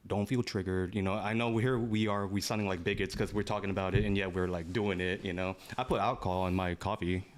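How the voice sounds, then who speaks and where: A young man co-hosting a podcast, chiming in with quick agreements and jokes in casual chat. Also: low-pitched, little pitch movement. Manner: chiming in with quick affirmations, joking. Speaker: podcast co-host.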